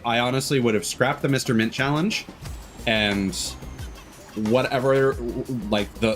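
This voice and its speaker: A man with a fairly low voice gives casual commentary on a game livestream. He talks in a rambling, analytical, opinionated way, with music playing behind him. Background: video game music.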